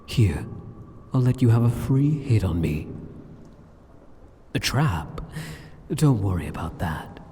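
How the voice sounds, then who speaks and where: Guy doing a smug seductive demon king for asmr roleplay, mellow n husky, taunting, big throne-room echo. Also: medium pitch. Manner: theatrical, smug, taunting, condescending. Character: arrogant, seductive, sadistic male demon king taunting a hero. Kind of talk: ASMR roleplay, scripted monologue. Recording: long cavernous reverb.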